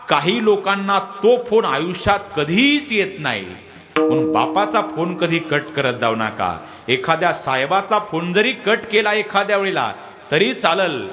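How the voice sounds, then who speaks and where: A man preaches in a rural Maharashtrian Marathi accent, with a high, bright voice that swings widely in pitch. He tells devotional stories with emotion and drives points home by repeating them straight to his listeners. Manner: emotive, repeats lines for emphasis, addresses listeners directly. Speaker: Varkari kirtan preacher. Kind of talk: devotional sermon with dramatic storytelling.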